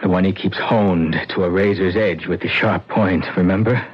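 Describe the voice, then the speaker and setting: An actor in an old-time radio drama playing a sinister, controlling man who gives coaxing, deliberate instructions, on a vintage recording. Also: deep voice, steady tone, breathy edge, dull audio. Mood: menacing. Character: sinister, controlling man plotting murder, coldly insistent.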